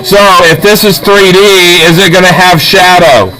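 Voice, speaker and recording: A man's voice: sits high, moderate pace, pushed and bright, rough recording. A man teaching a hands-on drawing lesson step by step, in an instructional manner with short check-in questions. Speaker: art teacher. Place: classroom with students. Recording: classroom chatter around the voice.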